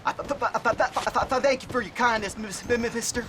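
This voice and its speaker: An actor playing a jittery, foul-mouthed outlaw with a heavy stutter in a video game. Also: male voice, extremely high pitch, fast, noisy background. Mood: pleading. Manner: jittery, breaking words into repeated sounds. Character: frightened, stammering, foul-mouthed male outlaw captive turned gunfighter. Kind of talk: video game cutscene acting. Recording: loud music and gunfire behind.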